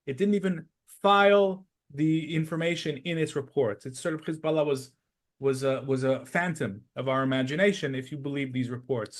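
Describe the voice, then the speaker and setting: A man discussing politics analytically as a podcast host, explaining his points step by step with emphasis. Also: a little high.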